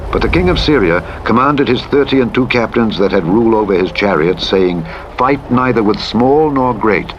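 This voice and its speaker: Deep-voiced man reading scripture over truck noise. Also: highs cut off. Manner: measured, formal, dramatic reading. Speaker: audiobook Bible narrator. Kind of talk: solemn scripted scripture narration. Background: truck engine and vehicle sounds from driving simulator game.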